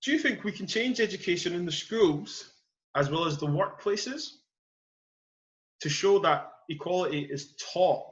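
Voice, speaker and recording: A man's voice: high voice, expressive, unhurried, fairly bright tone, highs cut off. A male podcast host interviewing a guest, eagerly prompting him to say more. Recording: echoey room.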